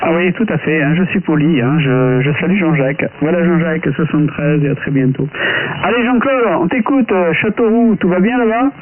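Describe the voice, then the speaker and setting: A man's voice: a little high, expressive, a little fast. A man chatting casually as an amateur radio operator over a tinny link with a faint hiss.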